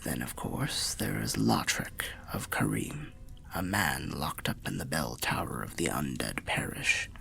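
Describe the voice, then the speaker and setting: A man voicing a weary old undead wanderer telling fantasy tales in ASMR roleplay, half whispered and gravelly, with a measured, wry, old-fashioned way of speaking. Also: big pitch swings, sharp tone, heavily acted. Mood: cautionary, hushed. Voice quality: breathy whispering, gravelly low voice. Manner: measured, archaic, wry storyteller.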